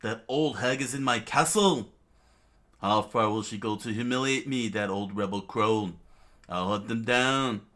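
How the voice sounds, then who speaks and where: A man voicing a vain, gluttonous tyrant king in a pompous, shouting manner for a story. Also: medium pitch, a little slow, voice pushed up, lightly acted. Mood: furious.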